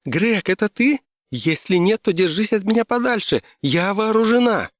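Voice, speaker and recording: A man's voice: high-pitched, expressive, moderate pace, dry recording, clean studio sound, pitched above the performer's own voice. A man voicing an anxious woman trekking client in an audiobook story. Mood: frightened, defiant. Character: anxious young woman client on an Antarctic trek.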